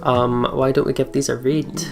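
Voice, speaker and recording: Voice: medium pitch, level tone, normal speed, vocal fry. A let's play host chatting casually and easygoing while playing a story game. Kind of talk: casual gaming chat. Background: guitar game music.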